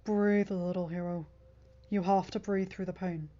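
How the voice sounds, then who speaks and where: A woman voicing a quietly menacing, protective man who murmurs softly in an audiobook story. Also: steady tone, unhurried, muffled recording, close to an everyday voice. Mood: gentle. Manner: soft, low murmur.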